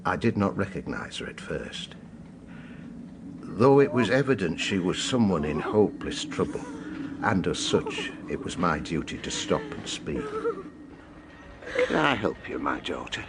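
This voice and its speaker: A compassionate older male confessor in a radio drama. His low voice is measured and solemn, with formal phrasing. Faint ambience sits behind him. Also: very animated, background noise, full character voice. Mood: solemn, courteous.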